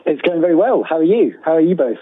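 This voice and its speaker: A man's voice: on the high side, animated, fast talker, husky, dry recording. A British man in his forties, a guest author chatting in a hesitant, rambling, self-deprecating way over a remote call. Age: 40s. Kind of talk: casual interview conversation. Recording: thin phone-like call, dry.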